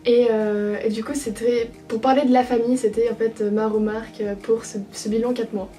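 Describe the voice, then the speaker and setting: A woman's voice: a little high, little pitch movement, normal speed, dark tone, recorded from a distance, faint music behind. A young woman from France talking through her experience as an au pair in a casual, reflective way, with frequent hesitations and restarts. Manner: hesitant, many fillers and restarts. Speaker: au pair vlogger.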